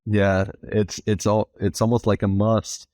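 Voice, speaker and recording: Low-voiced man from southern Brazil, halting. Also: little pitch movement, dark tone, no room sound, studio quality. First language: Portuguese. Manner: halting, many restarts and fillers. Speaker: podcast host. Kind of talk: casual interview chat.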